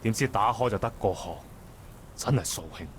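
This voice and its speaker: A man's voice: lowish voice, fairly quick, a little hiss or hum, natural voice. A man voicing a mocking, sadistic gangster leader in a visual novel, taunting and theatrical. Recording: game audio with music behind.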